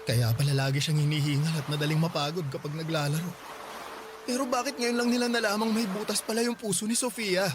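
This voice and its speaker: A man's voice: high-pitched, steady tone, quick pace, background noise, natural voice. An actor playing a resolute young husband and father, firm and reassuring. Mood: alarmed. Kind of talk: radio drama acting. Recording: voice over drama music.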